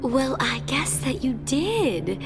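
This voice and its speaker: Smug, animated villainess. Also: female voice, sits high, slow, vocal fry, full character voice. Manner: taunting, condescending. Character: smug, scheming, cruel female villain. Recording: music behind the voice.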